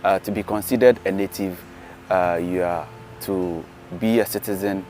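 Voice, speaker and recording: A man from Ghana with a Ghanaian English accent, talking through career tips in a measured, explanatory way, often pausing with "uh" and "um". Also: low voice, steady tone, darkish tone, slight background noise. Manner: measured, explanatory, frequent "uh" and "um" pauses. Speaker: vlogger, science teacher. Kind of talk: casual advice vlog. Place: outdoors in an apartment garden, later seated.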